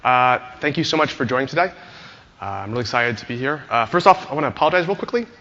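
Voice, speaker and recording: A hoarse man giving a friendly conference talk to an audience, telling a story with many "uh" fillers. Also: mid-range voice, animated, fast talker, sharp tone, big-room sound, highs cut off. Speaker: conference speaker, engineer.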